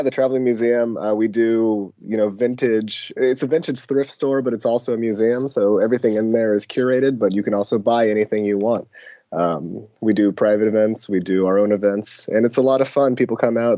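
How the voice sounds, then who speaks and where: A hoarse man chatting as a podcast guest, joking in a dry, self-deprecating way. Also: fairly low pitch, dark tone, dry recording, lo-fi sound. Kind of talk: casual podcast chat.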